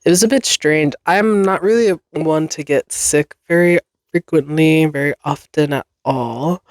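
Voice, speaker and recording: A podcast host recovering from a cold, sounding a bit nasal and congested, rambling chattily in a basement with frequent laughs. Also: male voice, fairly high pitch, unhurried, no room sound. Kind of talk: casual solo podcast chat. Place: basement room.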